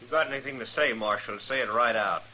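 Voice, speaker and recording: An actor playing a bitter, defiant jailed outlaw, curt and surly, in 1950s radio western style. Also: male voice, mid-range voice, fast talker, sharp tone, low-quality recording. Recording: hissy old radio recording.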